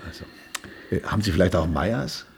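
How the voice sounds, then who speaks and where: Fussy flustered astronaut civil servant, old radio comedy, gravelly and animated, slight noise behind. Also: male voice, low-pitched, a little fast. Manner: stammering, hesitant, fussily exasperated. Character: flustered male astronaut, bureaucratic civil servant nearing pension. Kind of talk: comic German radio play acting.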